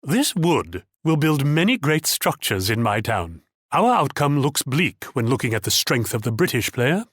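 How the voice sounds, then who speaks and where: Pompous Dutch commander, animated delivery. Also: male voice, medium pitch, moderate pace, husky, dry recording, clean studio sound. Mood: courteous, proud, then gloomy. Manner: pompous, patronizing, theatrical. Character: proud, condescending Dutch commander, wealthy and shrewd. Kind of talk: video game character voice lines.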